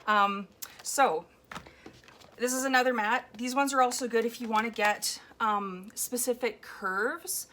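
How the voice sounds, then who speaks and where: A woman with a slightly hoarse, raw voice chatting casually about her collage process at home, rambling with frequent ums. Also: on the high side, a little slow, fairly bright tone, slight background noise. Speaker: collage artist. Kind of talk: casual commentary while making a collage. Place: home, indoors at a table. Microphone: overhead camera on a floor mount.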